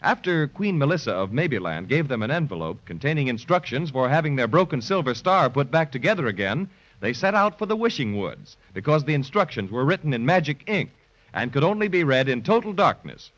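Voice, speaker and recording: Guy narrating an old kids radio serial, really animated, muffled 1930s sound. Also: medium pitch, a little fast. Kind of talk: 1930s children's radio storytelling.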